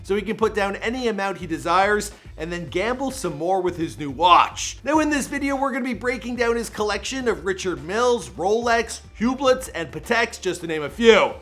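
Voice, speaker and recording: A man's voice: high-pitched, lively intonation, normal speed, hardly any pauses, a bit bright, faint music behind. A man with a Toronto accent hosting a countdown video in a jokey, slangy, punchy way. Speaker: host. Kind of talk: energetic countdown commentary.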